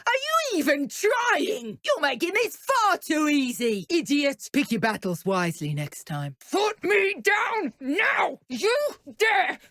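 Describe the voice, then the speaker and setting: Menacing female dark witch, British accent, high voice, swooping theatrical delivery, dry video game recording. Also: sing-song, slow, hardly any pauses, no echo, exaggerated voice. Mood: scornful, mocking, then indignant, furious. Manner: haughty, mocking, theatrical. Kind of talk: video game combat voice lines.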